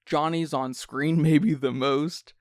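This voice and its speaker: A man's voice: a little high, fairly even intonation, slow, no room sound, studio quality. A man co-hosting a podcast, talking through a film in a casual but analytical, reflective way. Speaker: podcast co-host.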